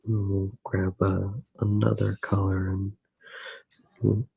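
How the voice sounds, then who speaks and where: A soft-spoken man narrating a drawing step by step in a hushed, unhurried ASMR style. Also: low voice, completely flat delivery, vocal fry, breathy edge, highs cut off.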